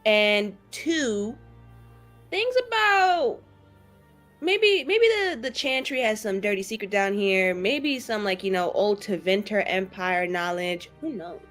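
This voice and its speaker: A woman's voice: medium pitch, animated, slow, a bit bright, faint music behind. A woman playing a tabletop roleplaying game, improvising in casual chat with a joking, sarcastic manner.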